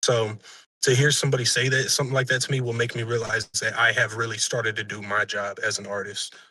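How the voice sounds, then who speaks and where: A young man with a raspy voice, a musician being interviewed, chatting casually and earnestly in slang and profanity, often backtracking. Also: on the low side, flat delivery, quick pace, pushed and bright, no room sound, poor audio. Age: young adult.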